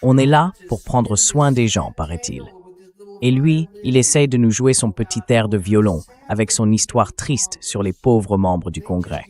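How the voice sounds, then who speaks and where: A man's voice: lowish voice, animated, unhurried, mellow. A man's AI dubbing voice delivering blunt, indignant, sarcastic political commentary. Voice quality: synthetic AI dubbing voice.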